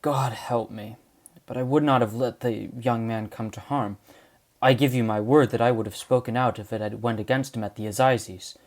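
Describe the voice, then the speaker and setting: A man's voice: mid-range voice, fairly even intonation, normal speed, natural voice. A man playing a weary, dying old man in an amateur audio drama, grave and remorseful as if confessing. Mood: anguished.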